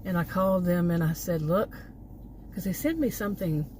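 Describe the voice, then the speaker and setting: A woman's voice: fairly low pitch, moderate pace, recorded from a distance, poor audio. A woman with a Texan accent chatting in a loose, rambling way, often stopping to correct herself. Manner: rambling, digressive, self-correcting. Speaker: vlogger. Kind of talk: casual rambling chat. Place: car. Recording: loud traffic noise, voice off-mic.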